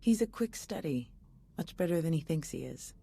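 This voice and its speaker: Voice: mid-range voice, expressive, normal speed, gravelly, dark tone, slightly rough. An actor voicing an easygoing, supportive soldierly companion in a video game, casual and conversational. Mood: relaxed.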